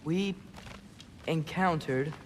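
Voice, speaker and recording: Awkward teen boy, slow halting talk, kinda high, game scene with music behind. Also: a little hiss or hum. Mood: awkward, curious. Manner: halting, tentative. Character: awkward, hesitant teenage boy newcomer. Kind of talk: cinematic video game acting.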